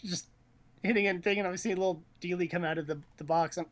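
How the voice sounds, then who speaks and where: A man's voice: high-pitched, steady tone, fast talker. A man casually chatting as he watches a video game, teasing and joking in slangy talk. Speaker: commentator on a video game stream.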